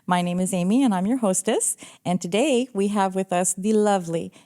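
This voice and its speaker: A woman hosts a casual podcast interview, affirming her guest and laughing easily. Her voice is mid-pitched, and she is recorded in a small studio. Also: a little fast, dark tone. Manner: friendly, affirming, giggly. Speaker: podcast host.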